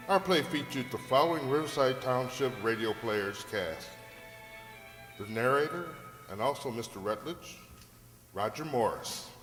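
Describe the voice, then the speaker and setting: A man working as an announcer, reading scripted radio drama credits in a measured, formal manner. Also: medium pitch. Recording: echoey hall, loud music behind.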